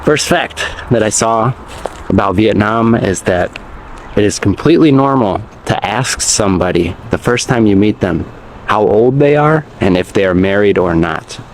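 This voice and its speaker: A man's voice: fairly low pitch, animated, normal speed, slight background noise, rough recording. A man eating and chatting in a casual vlog for English learners, explaining words simply and often calling viewers "guys". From United States. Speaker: English teacher vlogger.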